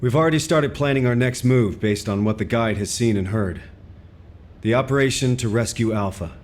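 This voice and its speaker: A man's voice: medium pitch, moderate pace, darkish tone, close to an everyday voice. A man voicing an authoritative, caring commander who speaks in a composed, decisive way. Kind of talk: game cutscene dialogue.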